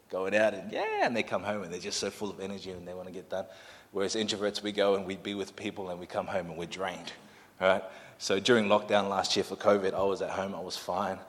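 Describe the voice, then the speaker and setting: A man in his thirties from Samoa, Samoan his first language, giving a friendly, chatty introduction to a congregation as their new pastor, with self-deprecating asides. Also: lowish voice. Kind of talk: sermon introduction. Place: church hall. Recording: long hall reverb in a church.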